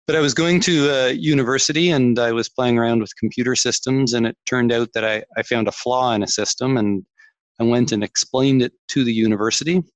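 A man's voice: medium pitch, steady tone, brisk, no room sound. A Canadian man, a tech executive, talking reflectively about his career as a guest on a podcast interview, with frequent restarts.

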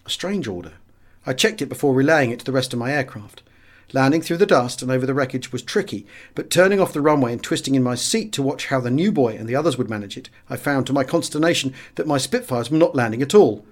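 A man's voice: mid-range voice, lively intonation, fast talker. British man reading a wartime memoir. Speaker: audiobook reader. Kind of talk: dramatic first-person memoir narration.

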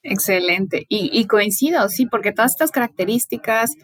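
A woman's voice: medium pitch, lively intonation, normal speed, no echo. A woman, hosting an interview, speaking with hesitant restarts. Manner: warm, hesitant, many restarts. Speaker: podcast host.

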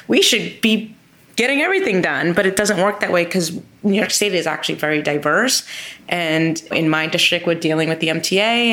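A woman with a low, gravelly voice, giving interview answers as a lawmaker. She talks earnestly and with emphasis, piling up repeated phrases to drive each point home. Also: fairly bright tone. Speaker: state legislator as guest.

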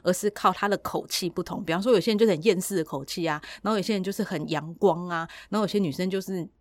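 A woman with a Taiwanese accent chatting casually and colloquially as a podcast host, explaining things in an animated way. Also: fairly low pitch, fast talker, no room sound, studio quality. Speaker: podcast host and audiobook producer.

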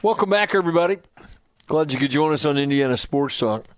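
A man with a slightly high voice hosts sports talk radio in a conversational style. The sound is dry and close. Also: expressive, brisk, smooth, no room sound.

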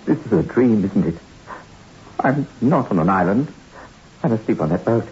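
An actor playing a bewildered, frightened castaway desperate to live, in an agitated 1960s radio horror style. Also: male voice, fairly low pitch, expressive, normal speed, a little mellow, lightly acted. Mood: bewildered distress. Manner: dramatic, agitated, pleading. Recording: noisy, muffled 1960s radio recording.

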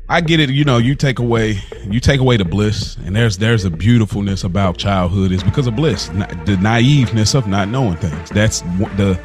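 A man's voice: fairly low pitch, a little fast, few pauses, faint music behind. A man co-hosting a podcast, chatting casually and conversationally. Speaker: podcast co-host. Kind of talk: casual chat.

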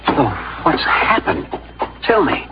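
A man's voice: medium pitch, huge pitch swings, slow pace, very noisy. An actor playing an earnest, devoted young frontiersman in love and grief, speaking with an American accent in 1950s radio drama style, tender and impassioned. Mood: anxious. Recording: muffled old radio broadcast, music behind.

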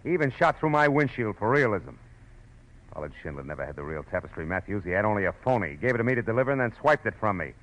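Low-voiced hard-boiled American private eye. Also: male voice, big pitch swings, racing, fairly bright tone. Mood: grim certainty. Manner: terse, tough, slangy. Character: hard-boiled, wisecracking, suspicious male private detective. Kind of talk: 1940s-50s radio detective drama acting, first-person narration and dialogue. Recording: noisy old radio broadcast recording.

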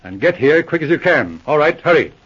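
An actor playing a brisk, no-nonsense male police inspector in a 1940s radio drama, who speaks in a crisp, commanding, matter-of-fact way. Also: a little high, big pitch swings, fairly bright tone, full character voice. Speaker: lead investigator. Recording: dull lo-fi 1940s radio recording.

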